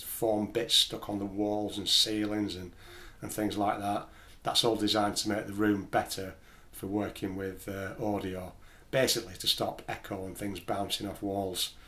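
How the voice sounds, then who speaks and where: A man with a slightly low voice and very little rise and fall in pitch. He talks like the host of a home gear video, explaining things casually and plainly, carefully qualifying what he says. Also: a bit bright. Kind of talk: casual explainer talking to camera.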